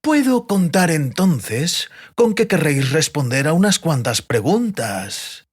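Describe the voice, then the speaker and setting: A man voicing an oily, insinuating stranger in an audiobook, speaking slowly with drawn-out, false courtesy. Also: a little high, sing-song, breathy edge, voice pushed up, heavily acted. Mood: sly, polite. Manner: slow, drawn-out, deliberately polite. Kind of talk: audiobook character voice.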